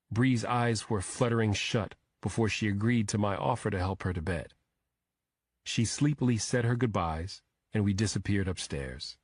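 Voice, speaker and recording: A man's voice: fairly low pitch, no echo. A man reading a thriller audiobook as a scripted first-person narration.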